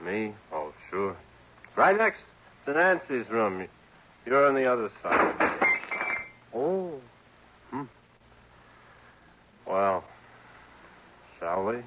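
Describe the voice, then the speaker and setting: A man's voice: on the low side, sing-song, drawn-out words, long pauses, noisy background, full character voice. A man playing an anxious, hard-drinking young husband in a mid-century radio drama, hesitant and stammering. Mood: tipsy, evasive. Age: young adult. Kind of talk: 1950s-60s radio drama acting. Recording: dull old radio recording.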